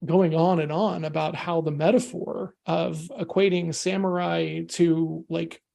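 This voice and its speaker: A man's voice: a little high, level tone, a little slow, creaky, dark tone. A man from the United States, a podcast guest talking casually and energetically, often adding "right?" and "you know". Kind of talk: casual discussion.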